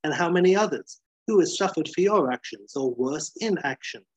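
A man's voice: medium pitch, lively intonation, normal speed, a little mellow, slightly rough. A man voicing a relentless, cruel accuser in a dramatic story, hammering out heated rhetorical questions. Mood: heated, accusing. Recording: dull, roomy sound.

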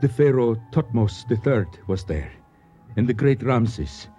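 A learned, nostalgic gentleman in a radio drama, speaking in florid, courteous phrases. The voice is deeply mellow and husky, with lively swoops of pitch. The recording is somewhat dull. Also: a little slow, faint music behind. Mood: absorbed storytelling. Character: courtly Egyptian man, learned, nostalgic storyteller.

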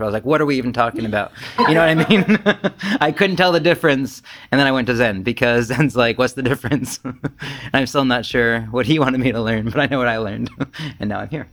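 A man's voice: medium pitch, a bit bright. Casual, self-deprecating man leading discussion. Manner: informal, self-deprecating anecdotes. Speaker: Zen group discussion leader.

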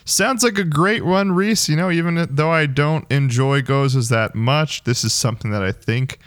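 A man's voice: fairly high pitch, normal speed, no room sound. A man co-hosting a casual chat, informal and agreeable, with encouraging remarks for his partner. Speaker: co-host.

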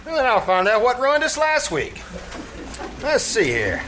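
Man playing a high-pitched, wisecracking tavern manager. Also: wildly swooping pitch, a little slow, full character voice. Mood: glib. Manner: breezy, slangy, mangled grammar. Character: wisecracking, malapropism-prone male manager of a shabby tavern. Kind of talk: old-time radio comedy acting on stage. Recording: live theater stage with background noise.

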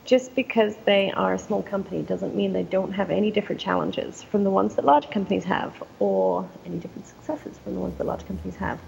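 A woman's voice: mid-range voice, brisk, gravelly, few pauses, darkish tone, a little hiss or hum, rough recording. A woman with an English accent, answering interview questions about her business in a conversational way with frequent ums. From England. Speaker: guest, company founder.

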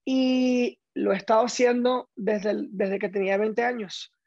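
A man's voice: high voice, steady tone, unhurried, a little mellow, no echo, highs cut off. A man from Caracas with a Venezuelan accent, telling his story casually and emphatically as an interview guest. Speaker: interview guest, stage actor.

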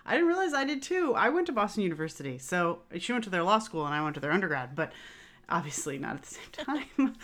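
A woman's voice: fairly low pitch, a bit bright. Woman cohost, casual podcast chat, easygoing and conversational. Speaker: podcast co-host.

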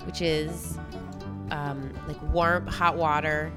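A woman's voice: sits low, fairly even intonation, takes it slowly, music in the background. A young adult woman, congested with the flu, co-hosting a podcast in frank, profane casual chat. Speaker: podcast co-host.

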